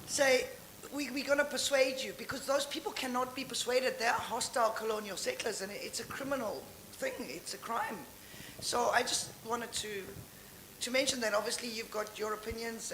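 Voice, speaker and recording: A woman in the audience making a comment, loud and emphatic, with self-deprecating asides. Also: a little high. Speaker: audience member. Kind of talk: comment from the floor. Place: conference hall. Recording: echoey hall with audible noise.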